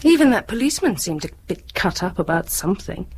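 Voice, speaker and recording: A woman's voice: on the low side, sing-song, brisk, a little mellow, a little hiss or hum. A woman with a British accent playing a caring but embittered grown daughter in a radio drama. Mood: weary, bitter. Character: grown daughter, caring toward mother, bitter about father.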